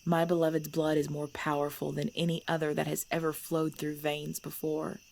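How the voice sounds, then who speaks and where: A woman playing a centuries-old female vampire clan leader in an ASMR audio drama, composed and commanding, speaking in measured, formal phrases. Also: low voice, monotone, vocal fry, darkish tone. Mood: grave. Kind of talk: ASMR audio roleplay acting. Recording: background noise with chirping crickets.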